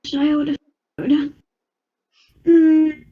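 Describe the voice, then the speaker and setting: A woman's voice: high voice, level tone, slow, phone-quality sound. A woman playing a tabletop game, chatting casually in a playful, dramatic, exclamatory way. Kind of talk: casual chat.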